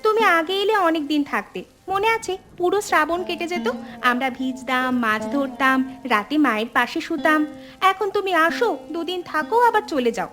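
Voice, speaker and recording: A woman voicing a feisty, outspoken young village woman, the younger sister in an animated family drama, quick to protest. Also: sits high, faint music behind. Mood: wistful reproach.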